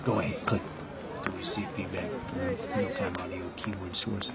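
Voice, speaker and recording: A man's voice: deep voice, level tone, normal speed, a loud crowd. A man acting in an audio drama as a rambling, agitated, crude guy arguing about conspiracies. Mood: crude, ranting. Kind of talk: scripted audio drama, casual dialogue. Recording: dull recording with loud background noise.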